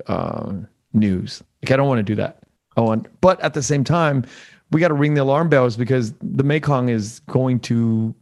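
A man hosting a casual interview podcast, speaking earnestly and haltingly as he searches for his words. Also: medium pitch. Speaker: podcast host.

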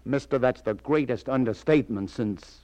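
A man's voice: mid-range voice, moderate pace, a little mellow, natural voice. An American man playing a world-weary but sympathetic police lieutenant in a 1940s radio crime drama, with a clipped, wry, musing delivery. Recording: old radio broadcast, faint music.

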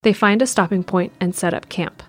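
A woman's voice: mid-range voice, steady tone, brisk, no echo. A woman reading scripted narration for an audio drama. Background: faint music.

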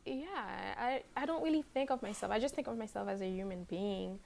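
A young woman from Haiti, a fashion blogger and podcast guest, talking in a relaxed, hesitant way with many fillers. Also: mid-range voice, fairly quick, creaky, a loud crowd. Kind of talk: casual interview chat.

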